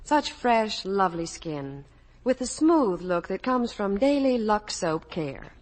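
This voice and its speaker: A female Hollywood reporter on old-time radio. Her mid-pitched voice is lively and animated, delivering scripted promotional chat. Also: slow pace.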